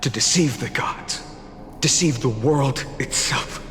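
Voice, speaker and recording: A man's voice: mid-range voice, close to an everyday voice. A man playing an earnest, solemn young hero. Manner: measured and solemn. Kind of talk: game cutscene voice acting. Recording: long reverb over clear music.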